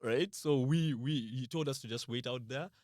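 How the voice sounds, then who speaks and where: A man with a Ugandan accent telling an anecdote casually as a podcast guest, acting out what people said in an animated way. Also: medium pitch, moderate pace, no room sound, studio quality.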